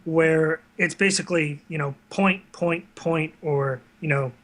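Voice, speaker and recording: A man talks casually as the guest on an interview show. His voice sits a little high with a crisp, forward brightness, and he explains things earnestly and conversationally. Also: measured pace. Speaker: guest, online video series creator.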